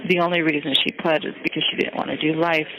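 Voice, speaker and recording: A woman inmate talking haltingly and deliberately on a recorded phone call. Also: deep voice, flat delivery. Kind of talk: phone conversation. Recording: jail phone call with background chatter.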